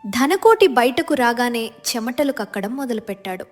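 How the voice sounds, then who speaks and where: A woman's voice: on the high side, big pitch swings, normal speed, soft background music. A woman narrator reading a scripted moral tale as storytelling narration.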